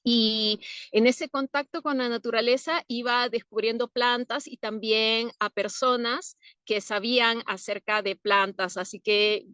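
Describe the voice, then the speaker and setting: This is a young woman from Peru, a plant-medicine practitioner, giving relaxed interview answers. She talks slowly and reflectively, laughing softly now and then. Her voice is smooth and clear, recorded close and dry. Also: fairly high pitch, no echo. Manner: unhurried, thoughtful, soft laughs between answers. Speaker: interview guest, herbalist.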